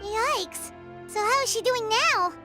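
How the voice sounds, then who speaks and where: Squeaky, excitable little fairy girl. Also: slow, over music, full character voice. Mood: curious, startled. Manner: squeaky, exclamatory, feisty. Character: small childlike fairy companion, girlish, excitable, easily offended. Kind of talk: cartoonish fantasy game acting.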